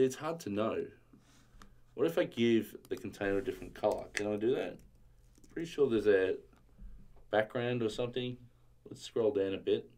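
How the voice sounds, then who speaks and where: A man streaming a casual coding tutorial, thinking aloud and reading documentation, his voice a little strained from yelling. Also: fairly low pitch.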